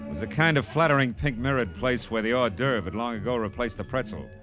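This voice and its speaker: A man's voice: mid-range voice, fast talker, lightly acted. An American man playing a tough, world-weary private detective in an old radio noir drama, clipped and slangy, narrating and talking bluntly. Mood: brooding narration. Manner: clipped, hard-boiled, slangy. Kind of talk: 1940s-50s radio noir acting and first-person narration. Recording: vintage radio recording with music behind.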